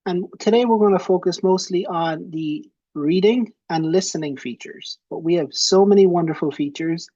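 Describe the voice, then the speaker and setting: A man's voice: high-pitched, normal speed, hardly any pauses, warm tone, no echo, muffled recording. A young man with an Irish accent giving a chatty, friendly webinar presentation from a small room at home, speaking into a headset. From Ireland. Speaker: presenter and software founder.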